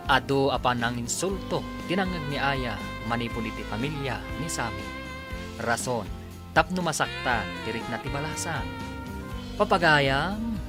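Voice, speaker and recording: A man announcing and narrating a radio drama in a drawn-out, formal broadcast style. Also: medium pitch. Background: music.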